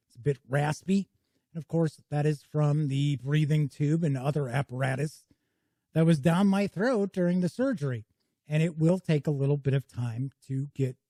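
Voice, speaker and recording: A man's voice: on the high side, dark tone, no echo. A man hosting his own board game channel, giving a casual, chatty review to camera in a folksy, welcoming way. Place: small home studio.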